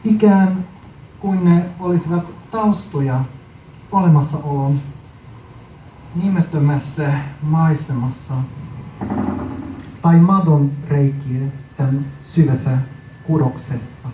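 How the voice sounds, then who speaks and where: A man reading his own poem at a live indoor performance, deliberately and in short phrases with frequent pauses. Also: on the high side, dark tone, echoey room. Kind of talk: poetry recital, performance reading. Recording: muffled, noisy live recording from far off.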